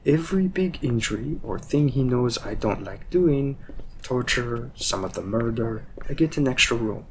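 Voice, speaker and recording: A man playing a cocky, loyal, world-weary assassin with a wry, teasing, drawn-out delivery in a roleplay audio drama. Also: mid-range voice, expressive, a little hiss or hum, natural voice, poor audio. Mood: boastful, wry.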